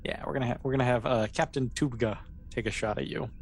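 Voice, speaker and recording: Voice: medium pitch, fast talker, darkish tone. A game master running a tabletop roleplay in a casual, hesitant, conversational way, explaining and reassuring as they narrate. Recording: clear background noise.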